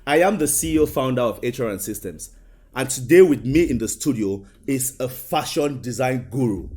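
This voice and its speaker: A man's voice: medium pitch, lively intonation, moderate pace, darkish tone. A man hosting a studio interview show, talking emphatically with repeated phrases and "you know" asides. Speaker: talk show host and interviewer. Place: indoor studio.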